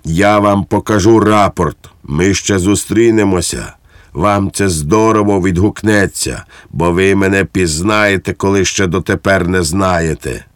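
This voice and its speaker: A man's voice: measured pace, clear, resonant tone, no room sound. Guy doing a bullying hot-tempered lieutenant for an audiobook, barks and threatens, dry sound. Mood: furious. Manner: barking, shrill outbursts, threatening. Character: irascible, blustering army second lieutenant, male, bullying. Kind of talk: audiobook character acting.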